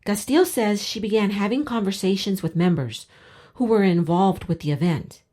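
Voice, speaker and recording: A woman's voice: mid-range voice, mellow. A woman radio reporter reading a scripted news narration.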